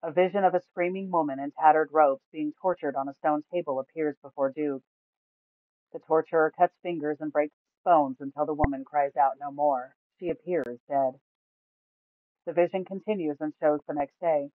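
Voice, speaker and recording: A woman's voice: low voice, steady tone, normal speed, no room sound. A woman reading scripted fantasy story narration from a tabletop campaign. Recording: thin, phone-like, dry sound.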